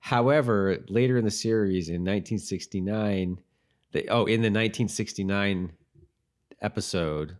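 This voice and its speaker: A man co-hosting a podcast, casually chatting and reading notes in a laid-back, halting way with self-corrections. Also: on the low side. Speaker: podcast co-host.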